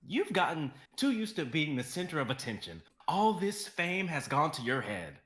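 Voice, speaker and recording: A man voicing a patient male assistant to a star, speaking calmly and gently in an amateur dramatic reading. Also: fairly high pitch, normal speed, roomy sound. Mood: reproachful. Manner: calm, gentle, soothing. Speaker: actor in a live script reading.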